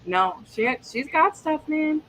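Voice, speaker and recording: A woman's voice: high voice, animated, slow, fairly bright tone, rough recording. A woman hosting a casual group chat, gushing and teasing in slangy talk. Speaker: host of a romance readalong livestream.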